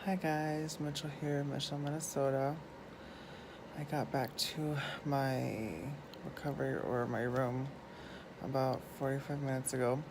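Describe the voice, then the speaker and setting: A man in a hospital room, exhausted and groggy after surgery, speaking quietly and slowly, a little away from the phone. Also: medium pitch, level tone, vocal fry, noisy background. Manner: quiet, drained, slow. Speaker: vlogger.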